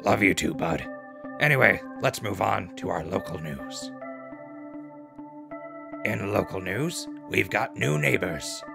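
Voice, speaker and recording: An actor playing a folksy, upbeat radio host and newsreader in a post-apocalyptic settlement drama, chatty and theatrical. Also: male voice, lowish voice, animated, slow pace, gravelly, long pauses, music in the background, exaggerated voice. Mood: wry, affectionate. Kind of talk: radio drama news broadcast.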